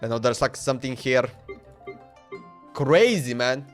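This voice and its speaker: A man's voice: medium pitch, expressive, unhurried, soft background music. A man streaming a game, chatting loosely and laughing, often saying "bro" and "man.". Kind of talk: casual livestream chat while playing.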